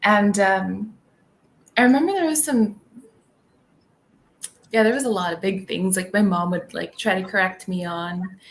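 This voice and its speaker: A woman's voice: medium pitch, measured pace, long pauses, slight background noise. A young woman from California whose first language was Tagalog, chatting as a podcast guest and rambling with many fillers. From California, United States. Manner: rambling, heavy on "like" and "um". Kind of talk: casual interview chat.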